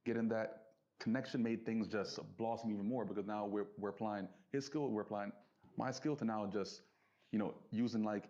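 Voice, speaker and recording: The kind of earnest, lowish-voiced man you'd hear as a guest on a homemade entrepreneur podcast, reflecting haltingly through muffled audio. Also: fairly even intonation, mellow. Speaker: interview guest, business entrepreneur. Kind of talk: casual reflective conversation. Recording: dull lo-fi audio.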